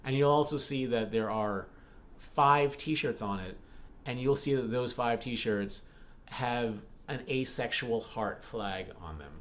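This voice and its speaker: A man speaks in a casual vlog style at an average pitch. He rambles candidly, like a creator updating regular viewers. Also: slow, a little hiss or hum. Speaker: YouTube vlogger and author.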